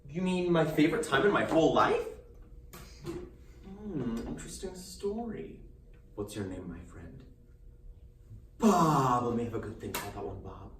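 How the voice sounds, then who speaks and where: Man playing a showy, volatile boy magician, wildly swinging pitch. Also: big pitch swings, normal speed, pauses often, close to an everyday voice. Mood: eager, showy. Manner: showman patter, abrupt swings between coy and explosive. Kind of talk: theatrical solo dramatic monologue. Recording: roomy, distant mic, faint noise.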